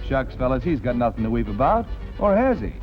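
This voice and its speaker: A man's voice: mid-range voice, very animated, brisk, lo-fi sound. An American man narrating a 1940s newsreel with a jaunty, wisecracking, punchy showman's delivery, on a vintage soundtrack. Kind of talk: 1940s newsreel commentary, scripted. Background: background music.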